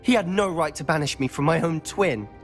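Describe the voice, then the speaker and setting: A man's voice: fairly high pitch, brisk, close to an everyday voice. Guy voicing a defiant british teen wizard boy, insistent, game dialogue with music under it. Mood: bitter, determined. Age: young adult. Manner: intense, insistent. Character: headstrong, defiant teenage schoolboy wizard, protective brother. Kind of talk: video game voice acting, dramatic dialogue.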